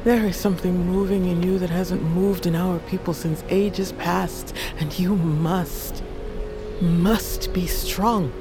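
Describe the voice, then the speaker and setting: A woman's voice: lowish voice, steady tone. Proud old grandmother, fantasy poison master, grave commanding delivery, audio fiction, prominent music underneath. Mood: solemn, consoling. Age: older. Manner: solemn, deliberate, commanding. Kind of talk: audio fiction drama acting. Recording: loud music behind the voice.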